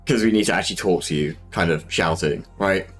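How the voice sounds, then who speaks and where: Southern English streamer, slightly low voice, easygoing rambling chat, soft music behind. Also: male voice, fairly quick, slightly rough. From Southern England. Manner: rambling and self-deprecating, many fillers. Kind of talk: casual chat while painting miniatures.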